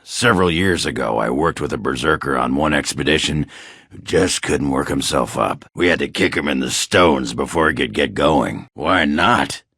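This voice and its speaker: A man voicing a gruff, crude, hard-drinking middle-aged dwarf warrior for a video game, with a gravelly, raspy voice and blunt, swearing delivery. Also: a bass voice, expressive, moderate pace, pushed and bright, no room sound, studio quality, exaggerated voice. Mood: gruff, boastful. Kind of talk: video game character acting.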